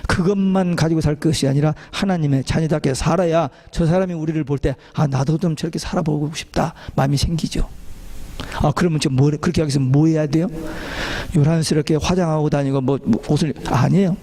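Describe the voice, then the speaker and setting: A man's voice: fairly high pitch, brisk, dark tone. A man preaching a church sermon as a pastor, exhorting listeners and putting questions to them.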